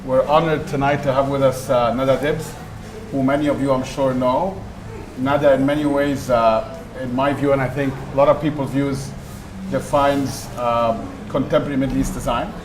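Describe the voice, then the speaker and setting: A man's voice: medium pitch, steady tone, moderate pace. A man hosting an onstage interview before an audience, courteous, asking long rambling questions with fillers. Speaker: host, interviewer. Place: event hall with audience. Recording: roomy hall with noise.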